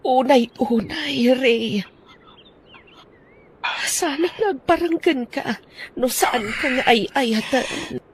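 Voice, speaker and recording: A remorseful estranged wife , acted by a woman, speaks very slowly and apologetically. Her voice sits a little high. A faint hiss hangs behind her in this radio drama. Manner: slow, remorseful. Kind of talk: Ilocano radio drama acting.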